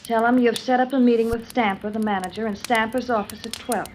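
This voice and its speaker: Actress as scheming wife, highish voice, old radio. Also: female voice, steady tone, fairly quick, lightly acted. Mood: cool, conspiratorial. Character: scheming unfaithful wife, coolly manipulative, feigning devotion. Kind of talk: old-time radio suspense acting. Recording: noisy vintage radio broadcast recording.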